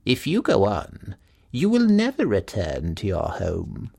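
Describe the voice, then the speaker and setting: A male reader puts on an old woman from a folk tale, ominous and foreboding. The words are dragged out very slowly in a husky voice. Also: rumbling low, wildly swooping pitch, warm tone, full character voice. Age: older. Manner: slow, drawn-out, foreboding. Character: ominous old woman warning travellers in a fable.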